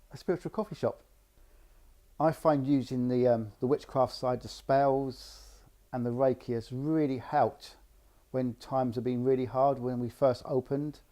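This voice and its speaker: Mellow-voiced man, halting interview talk. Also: medium pitch. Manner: halting, with restarts and ums. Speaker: interviewee, coffee shop owner. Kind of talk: casual personal interview.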